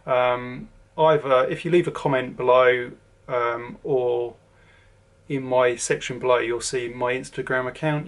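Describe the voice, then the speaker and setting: Southern english guy in his 40s vlogging, mid pitch, kinda monotone, lots of ums. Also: vocal fry. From southern England. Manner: rambling, lots of ums and restarts. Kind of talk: casual to-camera update.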